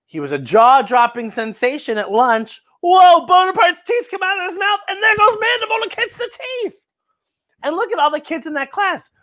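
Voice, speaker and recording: A woman's voice: very high-pitched, sing-song, moderate pace, a bit bright, muffled recording. A woman hosting a children's story time, reading a picture book aloud expressively and talking directly to young listeners with exclamations.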